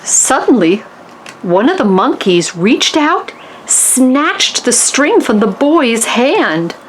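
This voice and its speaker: A woman reading a children's storybook aloud in an expressive way, chatting to the characters and listeners as she goes. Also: high voice, slow, a little hiss or hum, rough recording. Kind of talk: animated read-aloud for young children.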